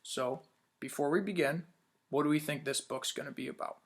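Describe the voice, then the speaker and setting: A man's voice: a little high, fairly quick, breathy edge. A man teaching young children in a read-aloud lesson, upbeat, asking questions and praising answers.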